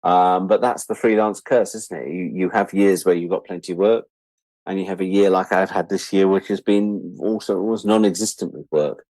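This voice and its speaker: A man's voice: sits low, mellow, no echo, low-quality recording. A British man of about sixty chatting casually and reflectively as an interview guest, candid and wry, repeating words for emphasis. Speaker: interview guest, freelance media producer.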